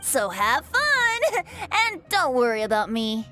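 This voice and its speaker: A carefree young male bard from a video game, high and very melodic in delivery. He teases in an easygoing, theatrical way, with music playing underneath. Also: huge pitch swings, slow pace, sharp tone, full character voice. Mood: cheerful teasing. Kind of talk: video game cutscene dialogue.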